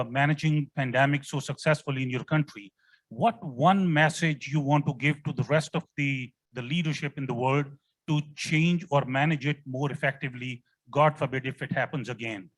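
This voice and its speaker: A man's voice: fairly high pitch, moderate pace, poor audio. A man with a Pakistani accent speaking from the floor as a diplomat, earnest, courteous and formal. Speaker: audience questioner, diplomat.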